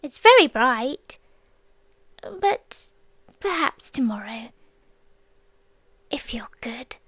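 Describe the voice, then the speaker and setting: A British woman playing a possessive, obsessive female demon in an ASMR roleplay, soft and breathy, often whispering, coaxing with tender menace. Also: sits high, big pitch swings, dry recording, exaggerated voice. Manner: slow, coaxing, sweetly menacing with long pauses.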